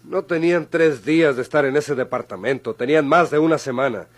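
Man acting a stern, higher-voiced Mexican lawyer. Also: natural voice. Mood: stern, reasoning. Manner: authoritative, deliberate. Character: stern male lawyer, commanding and suspicious interrogator. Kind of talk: old Mexican radio drama acting.

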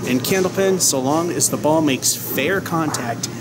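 Man commentating over loud background noise. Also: fairly high pitch, measured pace. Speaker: bowling show host. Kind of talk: casual play-by-play commentary of own game. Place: large indoor bowling alley. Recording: loud hum and room noise.

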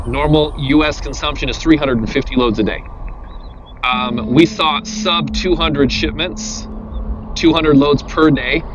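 A man's voice: mid-range voice, background noise. A man giving explanatory commentary, listing figures and examples with emphasis. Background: music.

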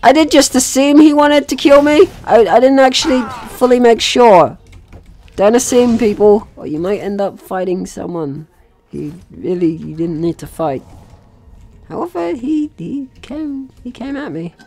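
A woman's voice: fairly high pitch, lively intonation, a little slow, warm tone. A woman giving casual, rambling and playful let's play commentary as host, swearing now and then. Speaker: gaming video host.

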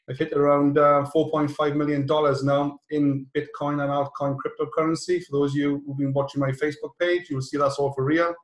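A middle-aged British man hosting an online call, introducing things informally in a rambling, halting way. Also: on the high side. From South Wales, UK. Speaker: host of an online presentation call. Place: small indoor office room, on a video call. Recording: roomy, echoey video call.

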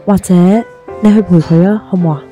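An actress with a Hong Kong Cantonese accent playing a gentle, caring young best friend in a radio drama. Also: female voice, on the low side, steady tone, fast talker, soft background music, lightly acted. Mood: concerned, earnest.

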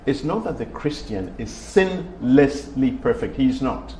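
A male reverend, a guest on a talk show, explaining scripture in a measured way. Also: medium pitch, lively intonation, a little mellow, a little hiss or hum, poor audio. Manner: measured, emphatic agreement.

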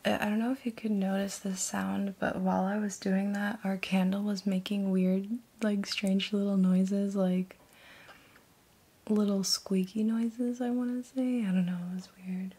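A woman in her thirties doing an ASMR reiki session, speaking softly and mostly in a gentle, halting whisper. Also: medium pitch, flat delivery, breathy edge. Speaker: ASMR reiki practitioner and host. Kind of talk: ASMR reiki roleplay, softly spoken to the viewer. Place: indoor room.

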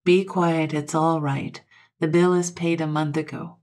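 A woman voicing a calm male doctor in a story, firm, reassuring and matter-of-fact. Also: low voice, a little slow.

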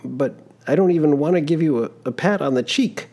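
A man reading a storybook aloud, voicing an eager, polite young male traveler who protests quickly. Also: on the high side, a little mellow, voice pushed up. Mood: indignant.

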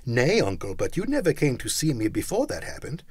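A man voicing a cheerful, warm-hearted young nephew who pleads earnestly. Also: medium pitch, huge pitch swings, brisk, slightly rough, no room sound.

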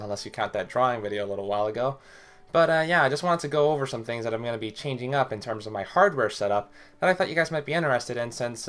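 A man's voice: mid-range voice, a bit bright, smooth. A young man talking casually to his webcam in a small bedroom, a little sick with a cough, rambling with many fillers as he walks through computer parts. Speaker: tech vlogger. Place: small bedroom with hardware boxes. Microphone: webcam at desk.

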